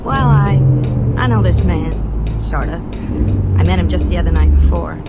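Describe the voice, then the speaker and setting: A woman's voice: fairly low pitch, moderate pace, over loud music, natural voice. Actress as a plain-spoken bar girl, muffled sound. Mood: hesitant, subdued. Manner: hesitant, informal storytelling. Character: plain-spoken, hesitant female bar-goer, wary witness. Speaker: radio drama actor. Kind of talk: old-time radio acting. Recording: dull old radio under loud engine noise.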